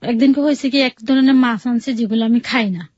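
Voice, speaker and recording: A woman's voice: fairly high pitch, brisk, a little mellow, no room sound, highs cut off. A woman with a Bangladeshi Bengali accent tells her personal story in a radio interview, recounting it plainly and conversationally with quoted dialogue. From Bangladesh, outside Sylhet. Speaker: guest telling her life story.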